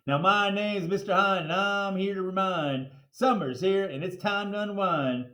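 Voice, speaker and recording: A man's voice: high-pitched, unhurried, sharp tone. A man voicing a flashy, boastful rapper showman from a children's storybook, upbeat and playfully preachy, rhyming in slang. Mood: playful rapping. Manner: rhyming, rapping delivery with slang. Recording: roomy, echoey sound.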